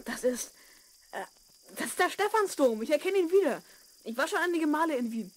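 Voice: sits high, lively intonation, a little fast, low-quality recording. A performer playing a curious, earnest twelve-year-old schoolboy in a children's radio play. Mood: amazed. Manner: inquisitive, earnest.

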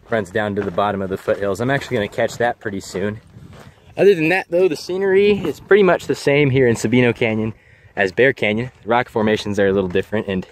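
A man's voice: fairly low pitch, a little hiss or hum. A young man talking casually to viewers while hiking a desert canyon outdoors, filming himself with a camera held at arm's length. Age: young adult. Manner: relaxed, informal, explaining to viewers while hiking. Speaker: travel vlogger.

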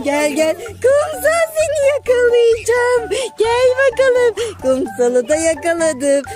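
A woman hosting a children's game, calling out to the players in a playful, teasing way. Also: very high-pitched. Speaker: children's game leader and host.

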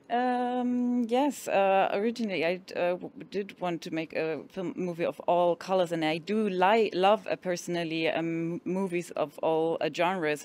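A woman's voice: deep voice, a little mellow. A woman interpreting at a festival interview, filling her speech with many ums and uhs as she searches for words. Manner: hesitant, full of fillers. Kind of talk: interpreting between Japanese and English. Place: small indoor room at a film festival.

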